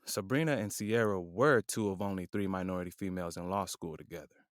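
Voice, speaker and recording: A man narrating a scripted audio drama in a measured, descriptive way. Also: sits low, dry recording, studio quality.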